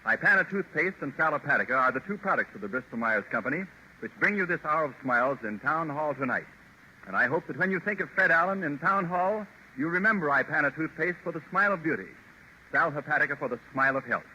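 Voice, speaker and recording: An American man announcing on 1930s radio, delivering an earnest, deliberate sponsor pitch on an old broadcast recording. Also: expressive, sharp tone, lo-fi sound, slight background noise.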